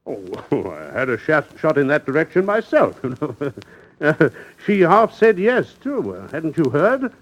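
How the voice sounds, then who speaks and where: A man's voice: a little high, huge pitch swings, a little slow, muffled recording, heavily acted. A man playing a hearty, blustering English captain and neighbour in a suspense radio drama, hesitant and exclamatory. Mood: genial, rueful. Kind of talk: old-time suspense radio drama acting.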